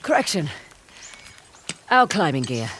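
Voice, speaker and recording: A woman acting as a composed, knowledgeable treasure hunter in a video game, with a measured, dry manner. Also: deep voice, big pitch swings, fairly bright tone, heavily acted. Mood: brisk, dry. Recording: clear outdoor ambience and vehicle noise.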